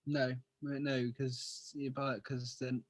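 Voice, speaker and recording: A man's voice: mid-range voice, little pitch movement, slow. A man learning to drive, answering in short agreeing replies and laughing easily. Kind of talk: casual chat.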